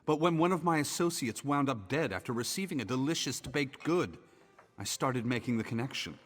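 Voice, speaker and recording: An actor playing a smarmy, flirtatious male merchant in a video game, with a husky, oily delivery. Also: mid-range voice, expressive, brisk, big-room sound, lightly acted. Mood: confiding. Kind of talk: video game character dialogue.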